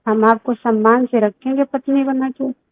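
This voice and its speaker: A woman's voice: high-pitched, fairly even intonation, unhurried, dry recording. A woman on a recorded phone call, speaking in a reproachful, insistent way and pressing the other person with questions. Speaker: private caller in a leaked phone recording. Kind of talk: tense personal phone conversation. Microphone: telephone. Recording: muffled, band-limited phone call recording.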